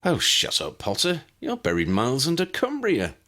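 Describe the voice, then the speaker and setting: A man puts on a confident, wry young man for an audiobook. The voice is airy and lifted well above his own, with very lively swoops. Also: medium pitch, normal speed, pitched above the performer's own voice. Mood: airy, teasing.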